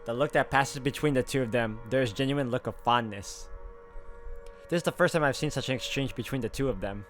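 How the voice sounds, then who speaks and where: A man with a mid-range voice reads a visual novel's text aloud at a steady pace, dropping in casual asides. Game music plays loudly behind him. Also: medium pitch, brisk. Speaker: gameplay narrator. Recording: voice over loud game music.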